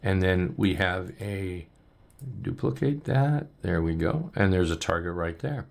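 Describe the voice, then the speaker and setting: A man coaching on trading, talking loosely and upbeat with many ums and restarts as he walks through charts, his voice going hoarse. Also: deep voice, animated, unhurried. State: losing his voice. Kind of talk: casual chart walkthrough.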